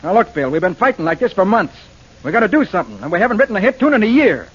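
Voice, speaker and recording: A sarcastic husky composer. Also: male voice, sits high, very animated, racing, a bit bright, full character voice. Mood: needling. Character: sarcastic male composer, needling his partner. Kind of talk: 1940s radio acting. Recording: dull old radio broadcast with faint music.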